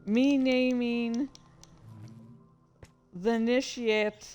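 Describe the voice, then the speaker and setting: A woman giving casual, rambling commentary as a writer, halting and self-deprecating, with some swearing. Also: on the high side, steady tone, highs cut off, over music. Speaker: writer reading and commenting on own story.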